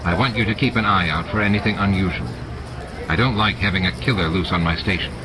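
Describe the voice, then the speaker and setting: A man's voice: low-pitched, quick pace, a crowd in the background, close to an everyday voice. Man reading as a curt, stern security chief. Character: terse, stern male alien security chief. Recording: noisy audiobook with added sound effects.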